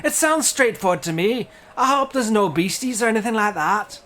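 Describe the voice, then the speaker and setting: A man's voice: high-pitched, expressive, moderate pace, pushed and bright, pitched above the performer's own voice. A man voicing a fussy male dwarf who is wary of adventure, with a put-on Scottish accent. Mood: fretful.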